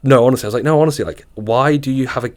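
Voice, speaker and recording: A young man, co-hosting a podcast in casual, animated chat and telling stories with plenty of swearing. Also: medium pitch, very fast, slight background noise. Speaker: podcast co-host.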